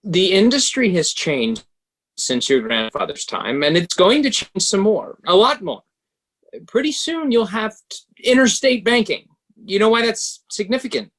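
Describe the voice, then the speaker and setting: A man playing a pragmatic, smooth-talking banker who coolly advises and persuades, in a table read of a stage musical. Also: high-pitched, big pitch swings, a little slow, a bit bright, exaggerated voice. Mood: persuasive, pressing.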